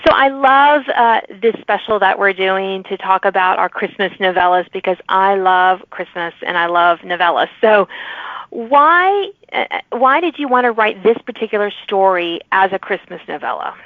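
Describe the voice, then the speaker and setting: A woman's voice: medium pitch, expressive, moderate pace, no echo. Woman podcast host on a phone-like line. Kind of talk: casual author interview. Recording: phone-like radio-sounding line.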